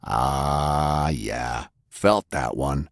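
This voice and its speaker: A man's voice: extremely low pitch, drawn-out words, gravelly, dry recording, clean studio sound, pitched below the performer's own voice, exaggerated voice. A man voicing a brutal, boastful, bitter male brawler with a taunting, sneering, growling delivery in an audiobook. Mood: gloating, menacing. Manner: taunting, sneering, slangy tough-guy talk.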